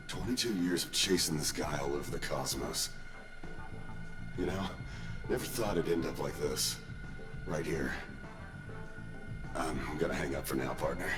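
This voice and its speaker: A world-worn robot detective with an extremely low voice. He is wry and laconic, reflecting like an old veteran in a video game, with music clearly behind him. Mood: wistful, weary.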